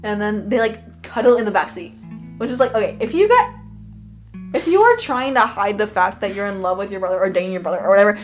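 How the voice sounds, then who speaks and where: A young woman co-hosting a podcast, excitedly retelling a story with lots of "like" and laughter. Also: mid-range voice, fast, over music. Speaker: podcast co-host. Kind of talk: casual chat.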